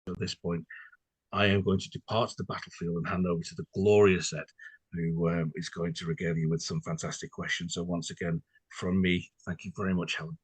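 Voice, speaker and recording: A man's voice: low-pitched, level tone, fairly quick, husky, dry recording, poor audio. A man co-hosting a podcast in casual, reflective chat, earnest and slightly florid in his wording. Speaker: podcast co-host.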